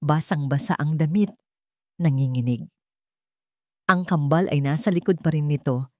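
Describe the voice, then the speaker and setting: A woman storyteller reading a scripted drama in deliberate, short dramatic phrases. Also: sits low, dry recording, studio quality.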